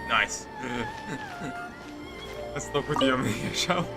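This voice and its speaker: A man's voice: very high-pitched, wildly swooping pitch, extremely slow. A man streaming a game with casual live commentary, rambling, giggly and often repeating himself. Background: faint game music.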